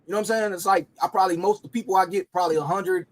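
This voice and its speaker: A man in his late forties with a high-pitched voice. He talks in a loose, rambling way and keeps circling back to repeat himself. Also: steady tone, rapid-fire. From Cincinnati area. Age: 40s. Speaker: rapper and host. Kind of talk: casual chat.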